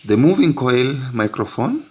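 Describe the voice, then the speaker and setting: A man teaching physics, narrating an explanatory lesson in deliberate, halting phrases with frequent breaks. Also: medium pitch, big pitch swings, a little mellow, slightly rough.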